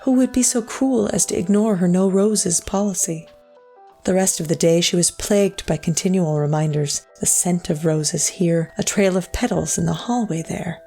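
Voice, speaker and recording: An actress playing a solemn storyteller, narrating a scripted dramatic tale in a measured, literary way. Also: female voice, lowish voice, mellow, breathy edge, soft background music. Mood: somber. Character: solemn female storyteller of a sad ghost tale.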